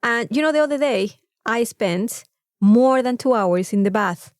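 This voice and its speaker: A woman chatting casually as a podcast co-host, animated and free with swearing. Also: on the high side, measured pace, dry recording, studio quality. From Mexico. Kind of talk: casual chat.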